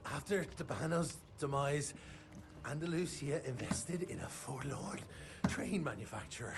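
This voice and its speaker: Creaky-voiced, jittery vampire informant. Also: male voice, on the high side, animated, a little slow, slight background noise. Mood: nervous, informing. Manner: jittery, stammering, indignant. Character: shifty, cowardly, foul-mouthed vampire informant man, agitated. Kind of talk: video game cinematic dialogue.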